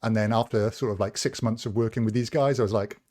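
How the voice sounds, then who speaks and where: A man's voice: on the low side, fairly even intonation, fast talker, a little mellow. Chatty man hosting a tech podcast. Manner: chatty, self-deprecating, steers the round of questions. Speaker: podcast co-host, moderator. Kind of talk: casual chat.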